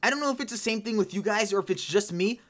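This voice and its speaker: Excitable, chatty teen girl gamer. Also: mid-range voice, quick pace. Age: late teens. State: a little hoarse. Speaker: gaming channel host. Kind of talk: casual gameplay commentary.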